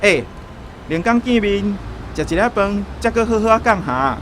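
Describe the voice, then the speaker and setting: An actor with a Taiwanese accent playing a hurried, breezily sociable male acquaintance making small talk in a radio drama. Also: high voice, expressive, fairly bright tone, slight background noise. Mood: breezy, hurried.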